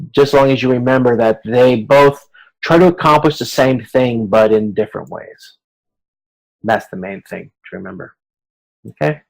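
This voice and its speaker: A man's voice: medium pitch, creaky, low-quality recording. A man giving an informal lecture over a video conference, explaining in colloquial language and often acting out short hypothetical remarks. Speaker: lecturer in supply chain management.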